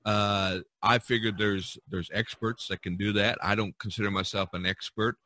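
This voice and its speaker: A man in his early sixties hosting a casual, rambling podcast chat, halting and often repeating words. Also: lowish voice, level tone, sharp tone, no echo. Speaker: podcast host.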